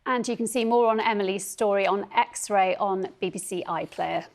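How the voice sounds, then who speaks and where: A woman's voice: medium pitch, moderate pace. A woman presenting broadcast news, reading scripted links and putting questions to guests.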